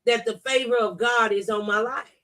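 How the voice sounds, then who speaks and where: A middle-aged woman with a notably high voice and a bright, forceful edge. She talks about faith in a conversational way, leaning into key phrases like a preacher and repeating scripture for emphasis. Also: fairly even intonation, normal speed, far from the mic. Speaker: talk show speaker on faith. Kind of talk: conversational religious talk, testimony and scripture.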